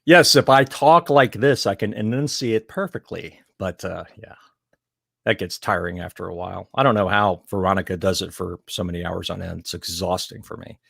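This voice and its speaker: A man with a Southern US accent co-hosting a podcast, talking in an animated, teasing way, swearing freely and laughing loudly. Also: low voice, moderate pace, gravelly, slightly rough, no room sound. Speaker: podcast co-host. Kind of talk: casual chat.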